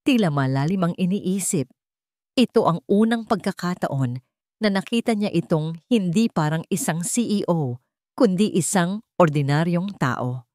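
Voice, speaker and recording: Lower-voiced woman narrating a dramatic story. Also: expressive, moderate pace, a little mellow, no room sound, clean studio sound.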